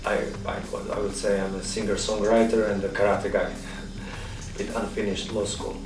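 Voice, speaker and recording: A man in his forties introducing himself in a halting, self-amused way, recorded echoey and from a distance. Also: lowish voice, flat delivery. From Slovenia. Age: 40s. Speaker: volunteer interviewee. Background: music.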